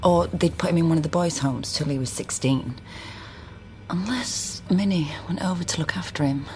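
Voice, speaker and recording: An actress playing a shrewd, guarded genealogist with a wistful inner side in a naturalistic radio drama. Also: female voice, lowish voice, moderate pace, background noise, natural voice. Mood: brisk, thoughtful.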